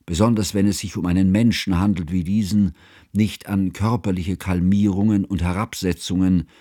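An actor playing a devoted scholar who narrates a literary radio drama in a measured, formal, solemn manner. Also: male voice, low voice, steady tone, warm tone, dry recording, studio quality. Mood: troubled, earnest. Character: grave, devoted male scholar narrating his friend's tragedy.

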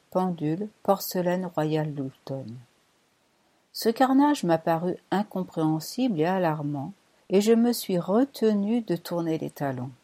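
Low, mellow-voiced woman reading a novel. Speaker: audiobook narrator. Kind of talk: scripted first-person novel reading.

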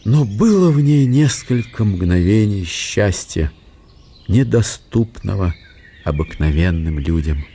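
A man's voice: on the low side, lively intonation, slow pace, warm tone, breathy edge. A man reading scripted literary narration for a radio drama.

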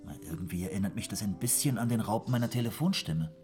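An actor playing a meek, earnest man in a radio drama, speaking hesitantly with formal courtesy and a husky voice. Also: on the low side, quick pace, darkish tone, faint music behind, close to an everyday voice. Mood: curious. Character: meek, polite, earnest man eager to be useful. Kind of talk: German radio drama acting.

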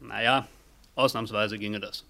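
An actor playing a curt, by-the-book official messenger in a German radio play, speaking in clipped, terse phrases. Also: male voice, fairly low pitch, fairly even intonation, a little slow, creaky, pushed and bright, full character voice. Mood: officious, impatient.

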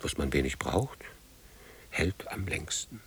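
An actor playing a weary, brooding older man in a radio drama, speaking gravely in halting fragments. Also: extremely low pitch, lively intonation, a bit bright, no echo, full character voice.

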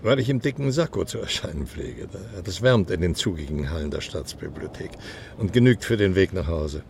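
A courtly male professor in a German radio drama. His voice is very low and crackles with fry, and he speaks formally, full of insinuation, over some background noise. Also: animated, brisk, a little mellow. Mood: knowing, genial.

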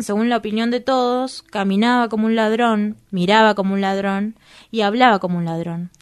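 A woman's voice: medium pitch, clear, resonant tone, lightly acted. A woman with an Argentine accent playing a coy, earnest young woman in love in a radio drama.

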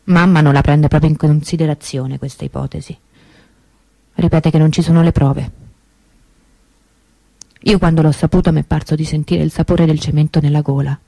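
Grave, grieving sister in radio drama. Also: female voice, low-pitched, steady tone, normal speed, vocal fry, long pauses, warm tone, no room sound, rough recording. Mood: anguished. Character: grieving, bitter sister of a kidnapped girl. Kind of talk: theatrical monologue for radio.

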